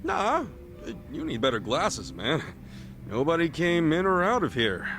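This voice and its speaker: An earnest, excitable guy in a game cutscene. His voice sits fairly high and swings widely, eager and informal, with light music behind it. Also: measured pace, heavily acted. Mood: evasive. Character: earnest, excitable, loyal male friend. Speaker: video game character. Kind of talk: dramatic game cutscene acting.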